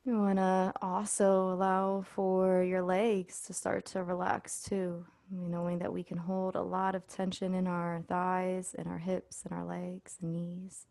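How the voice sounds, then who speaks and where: Woman, meditation instructor, slow pace, lowish pitch, soothing, pausing between instructions. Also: monotone, vocal fry, darkish tone, no room sound, studio quality. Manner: soothing, unhurried instruction with pauses. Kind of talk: guided body scan meditation.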